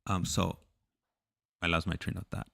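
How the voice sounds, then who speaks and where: A man chatting casually as he hosts a livestream, halting, repeating himself and losing his thread. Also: low-pitched, level tone, gravelly, sharp tone, no echo, studio quality. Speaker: livestream host. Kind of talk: casual rambling livestream chat.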